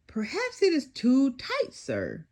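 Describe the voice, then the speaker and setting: A woman voicing a polite, helpful female pterodactyl police officer in a storybook, deferential and measured. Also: sits high, wildly swooping pitch, a little mellow, voice pushed up, heavily acted. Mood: patient, reasoning.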